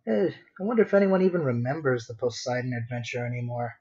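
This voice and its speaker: A man's voice: medium pitch, lively intonation, fast talker, a little mellow, lo-fi sound. A man chatting casually on a drawing livestream, rambling to himself in a playfully dramatic way. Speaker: streamer, digital artist.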